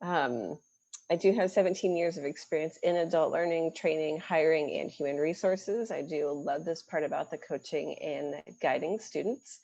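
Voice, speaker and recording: A woman's voice: sits low, normal speed, highs cut off. A woman from the US, an international admissions representative, presenting a webinar in an encouraging way with some "um"s. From United States. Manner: warm, encouraging, with frequent "um"s.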